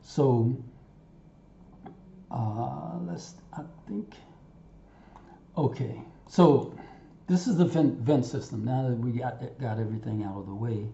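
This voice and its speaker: Slow, dark-voiced man thinking aloud. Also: medium pitch, gravelly. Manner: thinks aloud, halting, talks to himself. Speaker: software tutorial instructor. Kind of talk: unscripted screen-recording tutorial narration. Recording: roomy, muffled, audible background noise.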